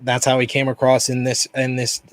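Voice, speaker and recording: A man with a New York accent co-hosting a review podcast, recapping in a loose, rambling way full of fillers. His voice sits at an ordinary middle pitch. It is recorded close and dry, with no room sound. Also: monotone, fairly quick, no room sound. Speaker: podcast co-host. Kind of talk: casual review chat.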